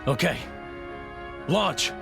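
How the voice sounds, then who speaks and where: A man's voice: a little high, expressive, drawn-out words, gravelly, heavily acted. A man acting a terse, grave leader of villains in a video game cutscene. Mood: commanding. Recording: loud music behind the voice.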